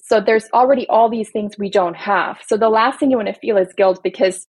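Husky-voiced woman explaining workers' rights. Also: medium pitch, a little fast, darkish tone, dry recording, poor audio. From Belgium. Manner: earnest, explanatory, speaks in long building lists. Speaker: employment attorney guest. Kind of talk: casual podcast interview.

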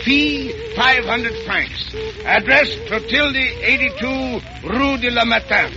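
An actor playing an irate, blustering older uncle in a 1930s radio comedy. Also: male voice, very high-pitched, slow. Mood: indignant, scolding. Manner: blustering, exasperated. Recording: dull vintage 1930s radio recording.

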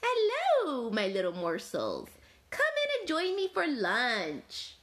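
Storybook villain: a woman as a greedy, menacing he-wolf who coaxes with false friendliness, pitched high. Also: wildly swooping pitch, slow pace, fairly bright tone, full character voice. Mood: sly, wheedling. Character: hungry, sly big bad wolf, male, menacing yet sentimental.

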